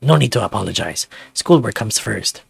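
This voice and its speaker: A man's voice: on the low side, lively intonation, moderate pace, vocal fry, husky, no room sound, exaggerated voice. A man voicing a fatherly adult male dragon in a visual novel, with a polite, considerate manner. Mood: understanding.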